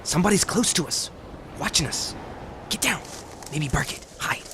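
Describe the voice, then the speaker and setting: An actor playing a jumpy, blustering, slangy tough crook in an old-time radio drama. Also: male voice, sits high, lively intonation, moderate pace. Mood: jumpy defiant. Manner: slangy, blustering, stammering when rattled. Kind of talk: 1940s radio drama acting. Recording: clear background noise.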